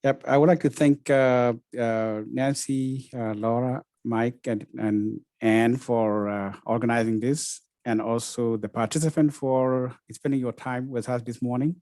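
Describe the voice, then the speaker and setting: A man from South Sudan, a researcher speaking on a panel, halting with frequent uhs and repeated words. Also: mid-range voice, fairly even intonation, mellow, dry recording. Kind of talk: webinar panel talk.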